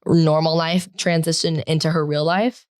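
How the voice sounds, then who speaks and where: A teenage girl co-hosting a podcast in casual chat, talking loudly and rambling with lots of "like" fillers. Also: deep voice, level tone, moderate pace, dry recording, studio quality. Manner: loud, projecting, rambling with many "like" fillers. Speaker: podcast co-host.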